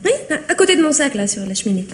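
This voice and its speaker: An actress playing a poised, teasing young wife and stepmother in a French radio mystery play, dismissive and gently reproving. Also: sits high, sing-song, racing, a little hiss or hum. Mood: cool, polite.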